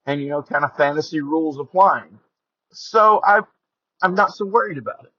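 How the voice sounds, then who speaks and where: A man's voice: fairly high pitch, normal speed, sharp tone, no echo. A man hosting a podcast in a casual, informal, jokey way, often interrupting himself. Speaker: podcast host. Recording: dull lo-fi audio.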